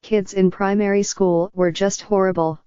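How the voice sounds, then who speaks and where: A text-to-speech voice of a woman reading forum posts aloud in an even, unvaried machine delivery. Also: medium pitch, normal speed, clean tone, no room sound, dull audio. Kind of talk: synthetic narration of posts.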